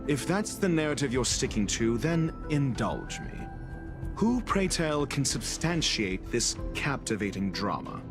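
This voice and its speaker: A haughty scholar from a video game, cross-examining with cool disdain. His pitch moves in lively, theatrical swings, and every phrase is pompous and sardonic. Music plays behind him. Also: a little slow. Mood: sardonic, accusing. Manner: pompous, sardonic, elaborately articulate. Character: haughty, skeptical male scholar interrogating suspects with cool disdain.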